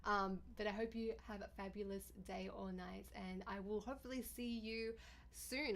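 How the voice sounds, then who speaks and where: A woman with a deep, raspy voice, chatting casually with viewers on a livestream. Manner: chatty, bubbly, thanks viewers often. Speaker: livestreamer and YouTuber.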